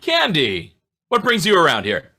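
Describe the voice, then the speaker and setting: A male actor's voice plays a friendly homicide cop in a 1940s radio play. It is forceful and bright with wide swings of pitch, yet the phrasing is hesitant and slightly awkward. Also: huge pitch swings, exaggerated voice. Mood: friendly, curious. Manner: hesitant, halting. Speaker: actor in an old-time radio detective play.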